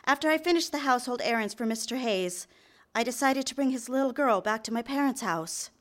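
A high-pitched young secretary, played by a woman in a live radio drama. She is eager and apologetic, hesitant and deferential with others, and intimate and confessional as a narrator. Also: lively intonation, a little fast, close to an everyday voice. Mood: confessional, rueful. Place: live on stage in a theater.

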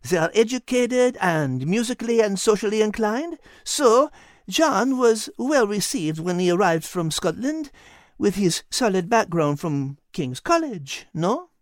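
Man putting on an elegant high-pitched Frenchwoman animal voice. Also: very animated, a little slow, no echo, studio quality. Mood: admiring, explaining. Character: elegant, clever French female animal, gracious and bookish.

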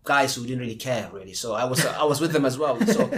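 A man's voice: mid-range voice, fast, a bit bright. A young man from Abu Dhabi with an Indian-tinged English accent, telling his story in a casual interview, rambling with many restarts. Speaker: guest.

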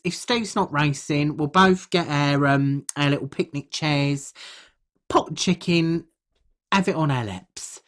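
A British woman playing an uncouth, food-obsessed podcast co-host, husky and rambling in colloquial, deadpan comic chat. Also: sits low. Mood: gleeful, proud. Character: uncouth, food-obsessed woman telling absurd tales earnestly.

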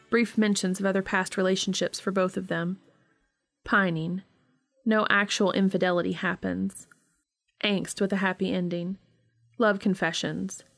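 A woman's voice: mid-range voice, gravelly, no room sound. A woman hosting a podcast, reading a story aloud in scripted audiobook-style narration. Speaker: podcast host reading fan fiction aloud.

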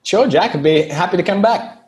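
An Australian man, a guest on a podcast, talking through his business in a relaxed, explanatory way and laughing easily. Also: high-pitched, moderate pace, highs cut off. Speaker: guest, SEO business owner. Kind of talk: casual podcast interview.

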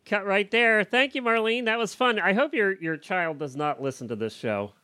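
Male podcast host with swooping, animated delivery and a dry recording, switching from intros to casual banter. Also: high voice, moderate pace, clean tone, no echo. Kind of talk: upbeat scripted intros and casual chat.

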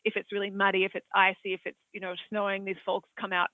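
A man's voice: sits high, monotone, fast talker, dry recording. Australian man on a tinny phone-like line. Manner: rambling, hesitant, many fillers. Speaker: equine veterinarian. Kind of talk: expert answering audience questions.

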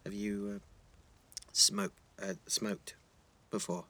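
Low, husky, hesitant schoolboy. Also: male voice, flat delivery, slow pace, bright, forceful tone, full character voice. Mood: hesitant, earnest. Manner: hesitant, stammering repetitions, flustered. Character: shy, awkward, earnest teenage schoolboy. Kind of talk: naturalistic audio drama dialogue.

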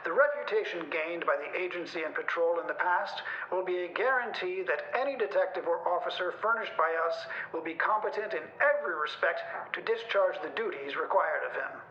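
A man's voice: high voice, brisk, hardly any pauses, sharp tone, a little hiss or hum. A man voicing a formal Victorian detective agency superintendent, reading a business circular to clients in a declamatory manner. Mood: formal, businesslike. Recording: muffled, roomy, old radio-like sound.

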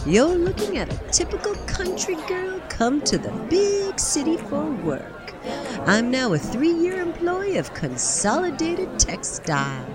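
Plucky young working girl, animated. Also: sits high, measured pace, over loud music, a loud crowd, full character voice. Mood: proud, cheerful. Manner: cheerful, wry, confident. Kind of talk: period radio drama dialogue.

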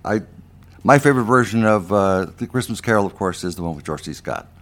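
Older man, lowish voice with very lively intonation, casual radio talk. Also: fairly quick. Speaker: community radio host. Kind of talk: casual chat.

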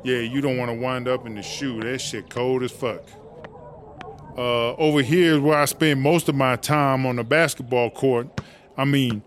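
A man's voice: mid-range voice, slight background noise, natural voice. A man playing a boastful, slangy female inmate who raps, with swaggering, profane talk, in a comedy audio drama. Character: brash, boastful female prison inmate and rapper. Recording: music behind the voice.

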